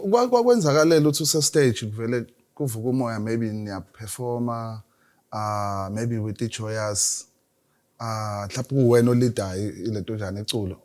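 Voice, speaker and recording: A man's voice: mid-range voice, darkish tone. A South African man interviews a guest casually, asking mostly in Zulu mixed with English and often answering with short agreements.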